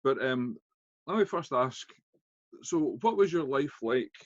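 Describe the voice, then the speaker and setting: A man with a thick Scottish accent hosting an interview, talking in a halting way with many fillers and restarts. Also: mid-range voice, expressive, dry recording, lo-fi sound. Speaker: interview host. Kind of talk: casual interview chat.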